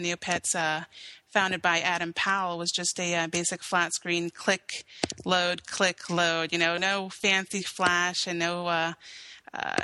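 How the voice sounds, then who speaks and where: An American woman from Hawaii, answering as an interview guest in a relaxed, chatty way with many ums. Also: lowish voice, slight background noise, rough recording.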